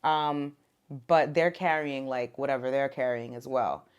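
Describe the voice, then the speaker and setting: A woman's voice: very deep voice, unhurried, smooth, highs cut off. A young woman, born in Ethiopia and raised in America, talking casually as a podcast guest about being a mother, with lots of "like" and "um". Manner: relaxed, many fillers like "like" and "um". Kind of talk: casual conversation.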